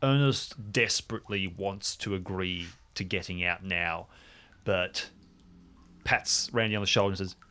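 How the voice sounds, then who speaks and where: Male tabletop player, slightly low voice, casual halting narration of character actions. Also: pushed and bright. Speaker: tabletop role-play player.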